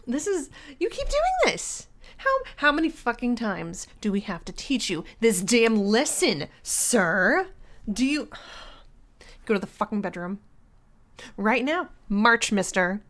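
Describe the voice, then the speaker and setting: A woman acting out a bossy, scolding young girlfriend in an audio roleplay, slipping between hushed whispers and loud outbursts and laughing mockingly as she talks. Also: medium pitch, animated, moderate pace. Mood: domineering. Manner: frequent laughter while talking.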